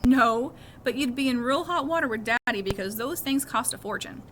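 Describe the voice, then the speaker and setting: A woman's voice: on the high side, normal speed, fairly bright tone, natural voice. A woman voicing a caring, reassuring mother who coaxes and instructs her young son in a storybook reading, with noise behind her. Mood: instructing. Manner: coaxing, instructing. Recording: clearly noisy background.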